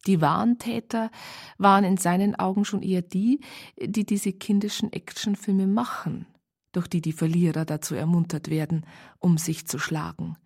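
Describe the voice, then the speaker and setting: An actress playing a reflective Bavarian woman who narrates a case in a radio drama, husky and measured. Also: lowish voice, fairly even intonation, a little mellow. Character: reflective female Bavarian practitioner recounting a case.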